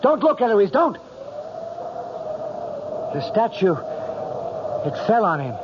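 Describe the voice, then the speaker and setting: A man's voice: high voice, big pitch swings, exaggerated voice. An actor playing an urgent, protective male friend in a radio drama, calling out in alarm. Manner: urgent, drawn-out calls. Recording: muffled radio recording, loud noise.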